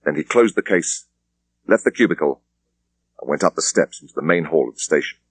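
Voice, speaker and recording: A man with an English accent reading a thriller audiobook in a measured, precise way. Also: very deep voice, lively intonation, dry recording. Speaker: audiobook narrator. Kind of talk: scripted thriller narration.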